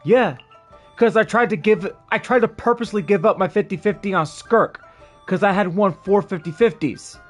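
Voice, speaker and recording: A man with a fairly high voice talks casually to his stream. He rambles along, often circling back to repeat a phrase. Faint music plays behind him. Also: normal speed. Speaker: game streamer. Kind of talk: casual livestream chat over gameplay. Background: game music.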